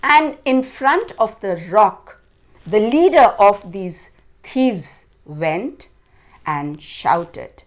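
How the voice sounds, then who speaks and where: Lady reading a kids story, super slow and dramatic, voice swoops up and down a lot. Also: mid-range voice, lively intonation. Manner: deliberate, dramatic phrasing with stressed words. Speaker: children's storyteller. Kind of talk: expressive storytelling for children.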